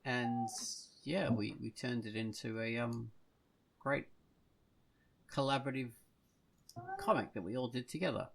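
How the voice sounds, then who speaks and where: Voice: medium pitch, measured pace, gravelly, pauses often, poor audio. A podcast co-host chatting casually, with a hesitant, drifting delivery.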